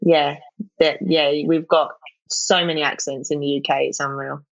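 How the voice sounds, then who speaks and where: A woman's voice: sits low, steady tone, moderate pace, creaky, no room sound, dull audio. A young woman with a South East London accent, chatting casually as an interview guest, full of fillers and restarts. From England.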